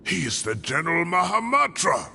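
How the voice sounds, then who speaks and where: A man playing a weary old scholar in a fantasy game, gravelly and deliberate, resigned yet fiercely protective. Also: a little high, very animated, sharp tone, slight background noise, full character voice. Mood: defiant, protective. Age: older. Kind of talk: dramatic fantasy game voice acting.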